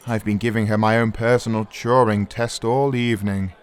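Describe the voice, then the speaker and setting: A man's voice: fairly low pitch, fairly even intonation, moderate pace, smooth, close to an everyday voice. A man playing a flamboyant, cocky lead programmer who teases his old colleague in an audio drama. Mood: teasing, cocky. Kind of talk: audio drama character dialogue.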